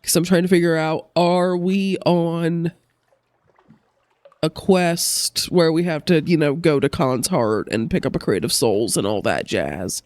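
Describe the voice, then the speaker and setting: A woman's voice: low voice, measured pace, a little mellow, no room sound. A woman with a congested, recovering-from-a-cold voice, chatting casually as she plays a game and thinks aloud. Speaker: let's play host. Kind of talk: casual gameplay commentary.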